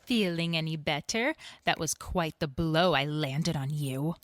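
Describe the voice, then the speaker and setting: A woman's voice: low voice, measured pace, dry recording, studio quality. A woman voicing a glamorous, dangerous villainess in an audio drama, haughty and taunting. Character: glamorous femme fatale villainess, imperious, mocking, dangerous.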